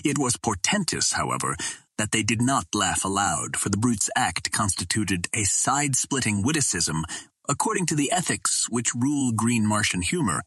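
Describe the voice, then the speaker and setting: A man narrating an old adventure novel in a measured, theatrical way, as a scripted dramatic reading. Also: fairly low pitch, very animated, a bit bright, breathy edge, no echo. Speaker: audiobook narrator.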